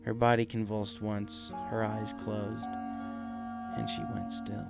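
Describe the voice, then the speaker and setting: A man's voice: on the low side, monotone, takes it slowly, creaky, music in the background. A man reading a fan fiction story aloud as narrator, in a scripted, dramatic storytelling style.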